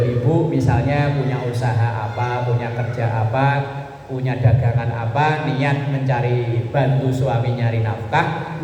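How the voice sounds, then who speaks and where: A man's voice: mid-range voice, dark tone, dull audio. Male preacher, Javanese Indonesian accent, colloquial sermon delivery, repetitive explaining, strong room reverb, faint background noise. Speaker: Islamic preacher. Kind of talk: informal sermon to a women's study group.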